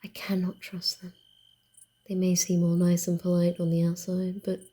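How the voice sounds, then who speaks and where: A woman voicing a wary, dryly teasing vampire in a soft ASMR roleplay, often dropping to a whisper, with a grumbling, hesitant manner. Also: lowish voice, monotone, moderate pace, lightly acted. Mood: uneasy musing.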